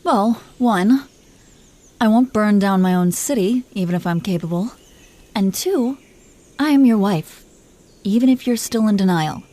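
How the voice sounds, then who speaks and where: A haughty dragon queen, acted by a woman in a mid-pitched voice, speaking intimately to the human she has made her husband. She is imperious and possessive, yet doting and playful. Her delivery is that of a scripted romantic audio roleplay, full of teasing and superior airs. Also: unhurried, long pauses, mellow, lightly acted. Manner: imperious, teasing, affectionately condescending. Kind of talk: scripted roleplay monologue spoken to the listener.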